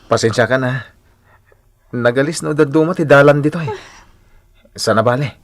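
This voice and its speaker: A man's voice: mid-range voice, moderate pace, no room sound, close to an everyday voice. A man with a Filipino accent playing a friendly, helpful male schoolteacher in a radio drama, chatty and attentive. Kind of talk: radio drama acting in Ilocano.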